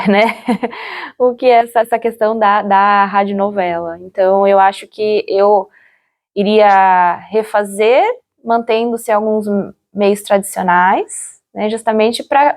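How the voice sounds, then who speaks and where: A woman's voice: on the high side, darkish tone. A young woman with a Brazilian accent talking casually and reflectively in an interview, chatty, often repeating words for emphasis. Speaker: former radio drama actress, interviewee.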